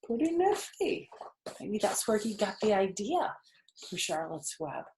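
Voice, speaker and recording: An older woman reading aloud to children as a storyteller, gentle and expressive, with friendly asides. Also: mid-range voice, measured pace, noisy background.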